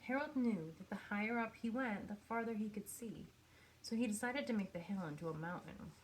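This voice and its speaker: A woman reading a children's picture book aloud in an expressive storytelling manner, with pauses for effect. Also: medium pitch, a little hiss or hum, poor audio. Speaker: storybook reader.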